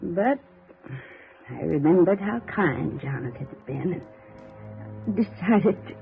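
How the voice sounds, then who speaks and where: An actress playing a dying old aunt in a 1950s radio drama, folksy, chatty and chiding. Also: female voice, on the low side, animated, slow, vocal fry, exaggerated voice. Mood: gently reproachful. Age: older. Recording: tinny old radio recording with music.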